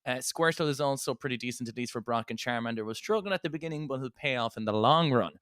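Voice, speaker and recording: A man's voice: mid-range voice, fast, clear, resonant tone, no room sound, clean studio sound. A man co-hosting a gaming podcast, telling stories casually and with some swearing. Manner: sweary, animated storyteller. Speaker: podcast co-host.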